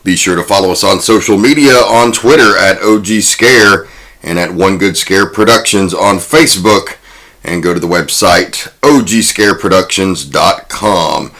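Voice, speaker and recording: Deep-voiced man reading a brisk outro. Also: fairly bright tone. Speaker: host. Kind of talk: show outro.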